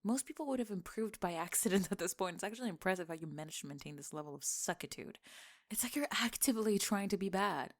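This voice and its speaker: A young woman playing a teasing, sarcastic tomboy gamer friend in an acted ASMR roleplay, with expressive sighs and laughter. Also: medium pitch, fast. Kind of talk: acted ASMR roleplay, one-sided conversation.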